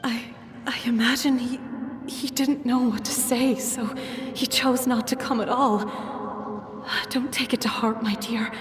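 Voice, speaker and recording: An actress playing a gentle, loving mother who speaks softly and reassuringly in a video game. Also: on the high side, fairly even intonation, measured pace, close to an everyday voice. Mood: tender. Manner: soft, soothing, reassuring. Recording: distant echoey voice with loud music.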